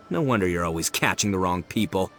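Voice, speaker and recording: A man's voice: deep voice, expressive, a little fast, a bit bright, soft background music. A man voicing a brash, indignant young gang member in a game, scornful and theatrical. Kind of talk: anime-style game dialogue.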